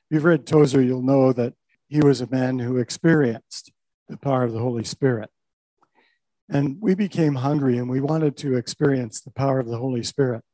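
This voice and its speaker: An older man, a pastor, recounts his own story in a warm, mellow voice. He talks reflectively, with small restarts along the way. The sound is close and dry. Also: mid-range voice, normal speed, dry recording, rough recording. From Canada. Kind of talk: personal testimony talk.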